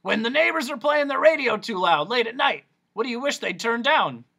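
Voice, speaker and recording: A man's voice: extremely high pitch, expressive, a little fast, creaky, bright, forceful tone, pitched above the performer's own voice, exaggerated voice. A man voicing Doctor Discord, a fussy, eccentric male doctor who lectures theatrically. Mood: quizzing.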